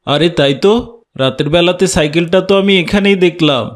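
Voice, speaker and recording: A man's voice: sits high, normal speed, close to an everyday voice. A man voicing a concerned father in a village cartoon. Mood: concerned, puzzled. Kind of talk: cartoon dialogue.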